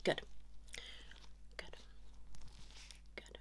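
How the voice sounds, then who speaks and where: A woman in her thirties playing a chiropractor in an ASMR roleplay, giving short instructions and praise up close, slipping in and out of whispers. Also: very slow. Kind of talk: fast ASMR roleplay, personal attention. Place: small indoor room. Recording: noisy lo-fi audio with clicks.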